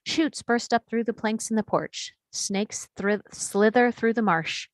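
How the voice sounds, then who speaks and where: A woman's voice: a little high, a little slow, no room sound. A woman reading scripted screenplay action and scene headings as a narrator at a table read. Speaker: stage directions reader.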